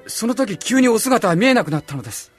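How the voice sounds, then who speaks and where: A man's voice: high-pitched, sing-song, fast talker, soft background music. A man playing an earnest, devout disciple in a radio drama, speaking emphatically. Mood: reverent.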